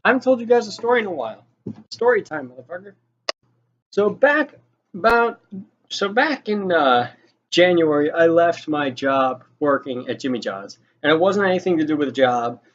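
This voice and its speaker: A man's voice: fairly high pitch, expressive, normal speed, a bit bright. A young man telling a casual story in a rambling, informal way with some swearing. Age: young adult. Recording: dull lo-fi audio with noticeable noise.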